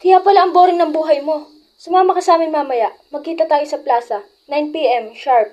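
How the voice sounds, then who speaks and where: A woman plays a bold teenage schoolgirl with a very high voice. She taunts and coaxes like the ringleader of a clique in an amateur radio drama. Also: brisk, darkish tone, muffled recording, natural voice. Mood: coaxing. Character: bold, goading teenage schoolgirl, a bad influence.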